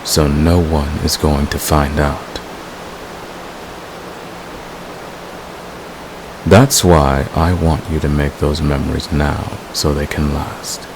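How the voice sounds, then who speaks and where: A man playing a devoted, manipulative villain in a romantic ASMR roleplay, speaking with deliberate, possessive intensity. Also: little pitch movement. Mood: somber, intimate. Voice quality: very deep, gravelly. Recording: clear background hiss.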